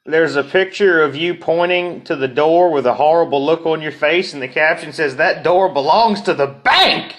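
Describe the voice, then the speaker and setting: Mocking, higher-voiced man reading comments. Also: normal speed, few pauses, a bit bright. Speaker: reader of online comments. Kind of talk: informal dramatic reading of comments.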